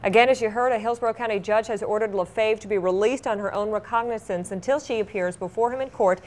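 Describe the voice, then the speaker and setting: A woman's voice: medium pitch, fast, a little mellow. A woman anchoring a newscast, reading scripted stories with light conversational asides. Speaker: TV news anchor.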